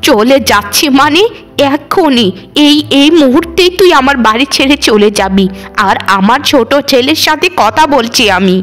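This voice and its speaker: Lady doing a stern old village mother-in-law for a cartoon, dramatic, kinda high voice. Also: lively intonation, normal speed, faint music behind. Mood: furious. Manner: scolding, dramatic declaiming. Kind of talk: cartoon voice acting.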